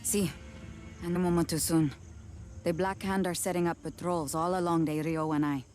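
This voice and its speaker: An actress playing a confident rebel leader and pilot in a video game, brisk and assured. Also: female voice, fairly low pitch, steady tone, a little mellow, faint music behind, lightly acted. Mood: urgent. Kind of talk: video game cutscene acting. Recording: clear vehicle and ambient noise.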